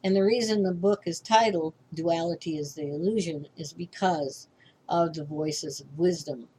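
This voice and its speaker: A woman's voice: low-pitched, moderate pace, dark tone, a little hiss or hum, low-quality recording. An older woman, a reverend, giving an informal spiritual class talk in a rambling way, often restarting and checking in with "okay?".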